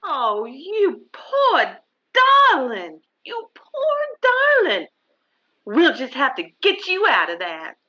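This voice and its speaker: Casting: syrupy, coquettish old flame for radio comedy, very high-pitched, swooping, pouty. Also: female voice, huge pitch swings, takes it slowly, bright, forceful tone, highs cut off, heavily acted. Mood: coaxing, syrupy. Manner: cooing, pouting. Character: gushing, clingy, coquettish old-flame girlfriend.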